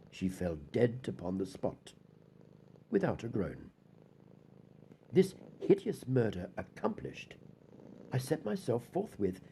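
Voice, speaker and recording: A man's voice: medium pitch, vocal fry, dark tone, a little hiss or hum. A man performing a deranged, guilt-haunted murderer's confession in a theatrical, formal gothic audiobook reading. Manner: theatrical, formal, dramatic emphasis.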